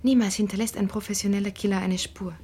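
An anxious, earnest woman, a criminal's married daughter, confides in a breathy voice of middling pitch, acted by a woman. The delivery is that of a radio crime drama. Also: fairly even intonation, fast, warm tone, close to an everyday voice.

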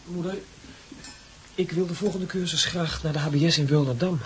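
Man as evasive teenage boy, lightly high pitch, hesitant, halting phrases, radio play dialogue. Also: fairly even intonation, slight background noise, close to an everyday voice, poor audio. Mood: hesitant, pleading. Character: hesitant, evasive teenage son pleading with mother. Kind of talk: Dutch radio play acting.

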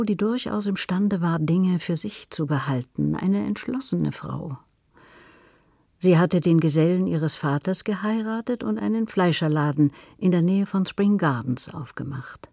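A man narrates a literary story in a mellow voice with noticeable creak. The delivery is scripted storytelling, and the sound is close and dry. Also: high voice, dry recording.

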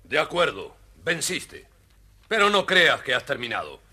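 A man's voice: a little high, sing-song, measured pace, sharp tone, husky, exaggerated voice. A man playing a haughty, scheming fairytale king with a pompous, commanding delivery. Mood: stern, sly.

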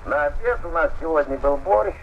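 A man's voice: on the high side, expressive, moderate pace, creaky, narrow, tinny audio, a little hiss or hum. A man at a polar station answering interview questions over a long-distance radio link.

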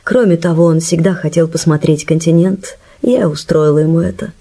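A woman's voice: low-pitched, fairly quick, dark tone. An actress playing a gracious, composed society woman of nearly sixty in a radio play. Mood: confident, fond. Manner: friendly, composed. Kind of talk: Russian radio play acting. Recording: faint music behind the voice.

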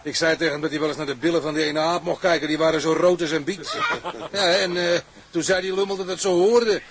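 An actor playing a jovial, coarse, quarrelsome bosun in an earthy, jocular drama performance. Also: male voice, a little high, fairly even intonation, a little fast, noisy background, close to an everyday voice. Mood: amused, indignant. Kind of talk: Dutch audio drama dialogue.

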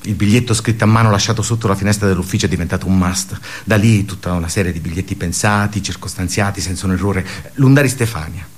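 An actor playing a bitterly sardonic office worker in a theatrical radio drama monologue, pensive and pausing. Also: male voice, low-pitched. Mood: dry sarcasm. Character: bitterly sardonic male office worker brooding on colleagues' suicides.

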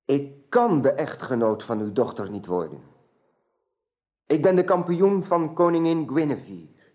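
An actor playing a troubled, honourable knight, grave, earnest and husky, in a radio drama. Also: male voice, medium pitch, full character voice. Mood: solemn refusal. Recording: dull lo-fi old radio recording.

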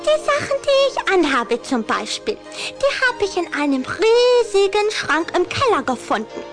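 A woman's voice: extremely high pitch, big pitch swings, a little slow, music in the background, exaggerated voice. A woman playing a lovestruck young vampire girl in a German children's audio drama, coquettish, proud and emphatic. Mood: playful, delighted. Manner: girlish, coquettish, emphatic delivery.

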